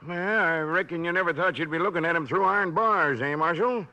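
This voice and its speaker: An actor playing a cranky, folksy old sheriff with a Western drawl in a radio western. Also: male voice, a little high, fairly quick, sharp tone, rough recording. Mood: grumbling, folksy. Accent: American Western drawl. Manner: folksy, blustering, colorful frontier slang. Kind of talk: 1950s radio western acting. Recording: old radio recording with faint noise.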